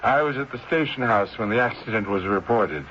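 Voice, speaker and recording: Actor as plain-spoken policeman, lowish voice, muffled vintage radio drama. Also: expressive, fairly quick, natural voice. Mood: matter-of-fact. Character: matter-of-fact policeman reporting a death. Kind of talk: 1970s radio drama acting. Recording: muffled, hissy 1970s radio recording.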